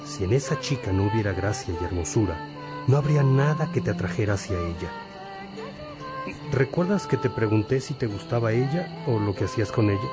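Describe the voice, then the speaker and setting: An actor playing a wise, patient priest and teacher in a religious radio drama, gently questioning and instructing. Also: male voice, on the low side, lively intonation, fairly quick, natural voice. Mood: patient, affirming. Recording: music behind the voice.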